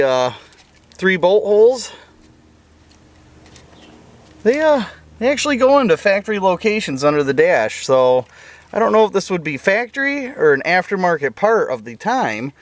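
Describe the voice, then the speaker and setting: A man talking informally to viewers as he explains a hands-on car project, in a do-it-yourself vlog style. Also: fairly high pitch. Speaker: car restoration vlogger. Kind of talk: casual do-it-yourself vlog narration.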